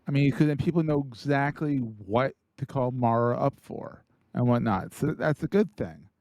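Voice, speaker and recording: A man's voice: medium pitch, animated, moderate pace, a little mellow, dry recording. A man hosting a podcast, chatting casually and upbeat with his guest. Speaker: podcast host. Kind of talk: casual interview chat.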